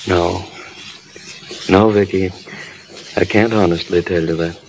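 Low-voiced, kindly mentor. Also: male voice, steady tone, slow, vocal fry, heavily acted, rough recording. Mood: resigned, fond. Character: concerned, kindly film producer and mentor. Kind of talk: 1930s radio drama acting. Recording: vintage radio broadcast, faint noise.

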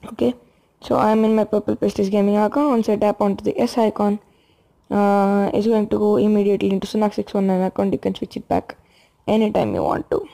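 A young woman giving a casual phone tutorial in a hushed, low-key late-night voice. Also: fairly quick, gravelly. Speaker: gaming channel host.

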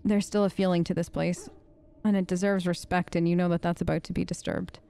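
A woman's voice: on the low side, little pitch movement, a little fast, creaky, warm tone, smooth. A woman improvising in-character commentary for a role-play let's play, conversational and hesitant as she thinks aloud.

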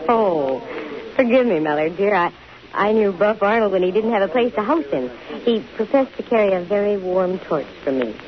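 An American actress playing a wry, teasing, quick-witted private investigator in a 1950s radio drama. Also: female voice, mid-range voice, lively intonation, crowd noise, natural voice. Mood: arch, flustered. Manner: dry, teasing, arch. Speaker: lead actress and narrator. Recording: dull old 1950 radio recording.

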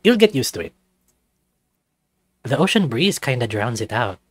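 A man voicing an affectionate, excitable young male otter in a visual novel. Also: mid-range voice, moderate pace, breathy edge, no room sound. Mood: easygoing.

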